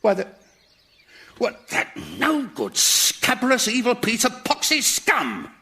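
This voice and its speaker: A pompous, creaky-voiced, indignant fellow. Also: male voice, very high-pitched, wildly swooping pitch, takes it slowly, pushed and bright, heavily acted. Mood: outraged. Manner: emphatic, exasperated, pompous. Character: indignant, self-righteous dead man, pompous and easily outraged. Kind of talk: radio sitcom acting.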